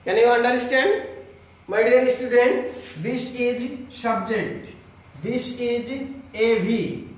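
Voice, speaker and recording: A man teaching an online grammar lesson in a halting, instructional way, explaining step by step to his students. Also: extremely high pitch, fairly even intonation. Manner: halting, instructional, with repeated check questions. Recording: very echoey room, distant mic.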